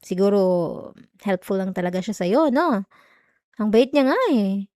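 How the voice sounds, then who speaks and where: A woman's voice: medium pitch, expressive, unhurried, mellow, no room sound, studio quality, close to an everyday voice. A woman with a Filipino accent playing a jealous, hurt young girlfriend in an emotional, sarcastic audio roleplay. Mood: passive-aggressive hurt. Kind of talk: Tagalog ASMR girlfriend roleplay, acted monologue.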